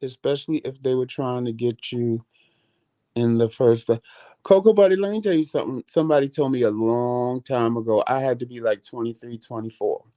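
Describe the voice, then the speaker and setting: A middle-aged man with a light voice, hosting a casual storytelling vlog, animated and cursing freely while greeting viewers. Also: medium pitch, fairly quick. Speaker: vlog host.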